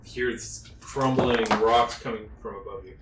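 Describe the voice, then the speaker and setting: A man's voice: mid-range voice, fairly even intonation, a little slow, roomy sound, very noisy. A man as game master, describing scenes and calling for dice rolls. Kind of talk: narrating a tabletop game.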